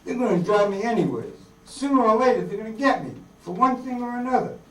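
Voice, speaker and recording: A man's voice: sits high, lively intonation, fast talker, voice pushed up. A man playing an earnest, idealistic young farm-born outlaw in folksy rural dialect, in a staged radio drama reading. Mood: troubled resolve. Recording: roomy hall, distant mic, faint noise.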